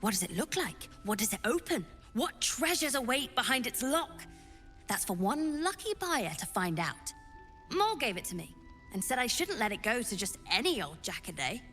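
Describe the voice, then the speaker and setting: A woman voicing an eager, cheeky tiefling child shopkeeper in a video game, excitable and full of sales patter. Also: a little high, moderate pace, soft background music. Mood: eager sales pitch.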